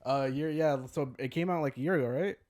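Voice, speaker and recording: A man's voice: a little high, fast talker, a little mellow. Laid-back man rambling casually. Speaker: podcast co-host. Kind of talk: casual gaming chat.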